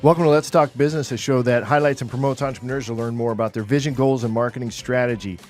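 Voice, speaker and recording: A man's voice: medium pitch, fairly even intonation, fast, darkish tone, faint music behind. A man hosting a business podcast in a studio, upbeat and conversational, with fillers like "it's like". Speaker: podcast host. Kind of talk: casual interview hosting.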